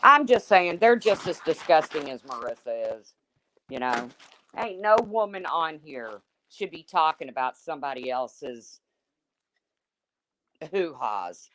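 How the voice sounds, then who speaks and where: A woman with a deep, husky smoker's voice hosting a livestream, reading chat comments and answering them in a blunt, chatty way. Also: big pitch swings, unhurried, fairly bright tone, slight background noise, rough recording. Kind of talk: casual chat with viewers.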